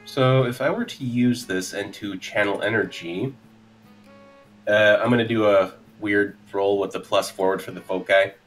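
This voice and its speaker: A man's voice: on the low side, steady tone, normal speed. A man taking part as a player in a tabletop roleplay game, chatting casually and describing his character's moves. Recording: faint music behind. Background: faint music.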